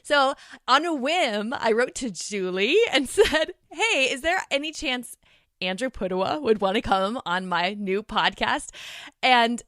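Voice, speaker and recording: A woman's voice: a little high, a little slow, no echo, clean studio sound. A middle-aged woman speaking casually and chattily as a guest in a podcast conversation. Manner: animated, chatty, laughs often.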